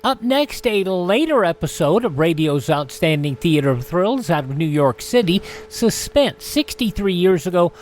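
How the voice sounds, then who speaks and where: A man's voice: high-pitched, big pitch swings, normal speed, few pauses, a little mellow. A man hosting a radio show, announcing in the style of a presenter reading a roundup.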